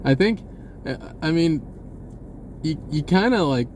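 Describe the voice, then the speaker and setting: A man speaks in a loose, rambling vlog style. His voice sits a little high and moves very slowly, often stopping partway through a thought. A steady noise runs behind him. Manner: halting, pausing mid-sentence. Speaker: vlogger. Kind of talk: casual rambling monologue to camera.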